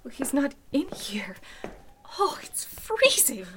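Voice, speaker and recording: A woman acting as an anxious yet spirited heroine of an old-time radio mystery. Her voice is high, bright and swooping, with dramatic exclamations, over clearly noisy audio. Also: very animated, slow pace, heavily acted. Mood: hushed, nervous.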